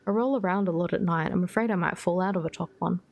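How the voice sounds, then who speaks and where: A woman's voice: fairly low pitch, fast talker, vocal fry, a little mellow. A woman reading the part of a timid, anxious boy at camp in a storybook, whining and stammering. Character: timid, anxious boy camper, easily frightened.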